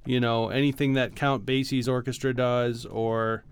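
A man's voice: mid-range voice, flat delivery, moderate pace, clean tone. A man co-hosting a podcast, casually explaining things in a patient, teacherly way with examples. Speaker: podcast co-host, music arranger and teacher.

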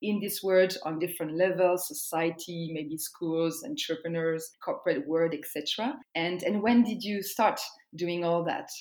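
A woman's voice: on the low side, steady tone, moderate pace, hardly any pauses, a little mellow. A woman hosting a podcast interview, asking friendly questions with hesitant restarts. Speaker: podcast host.

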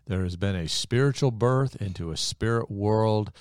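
Very deep-voiced earnest man. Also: moderate pace, smooth, no room sound, studio quality. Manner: earnest storyteller, lively when excited.

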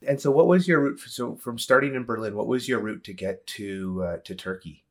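A man's voice: medium pitch, brisk. A man hosting an interview in a casual, conversational style. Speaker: interview host.

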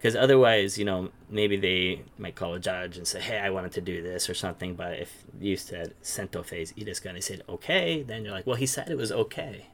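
A man's voice: low voice, a little fast, vocal fry, hardly any pauses, fairly bright tone, slight background noise. A man hosting a casual, instructional discussion, asking questions and explaining terms step by step. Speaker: host leading the discussion.

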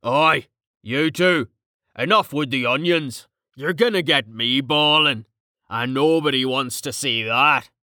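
A man's voice: medium pitch, slow pace, sharp tone, dry recording, clean studio sound. A man voicing a jovial, burly male shield-bearer in a fantasy audiobook, with a blunt, teasing, colloquial manner.

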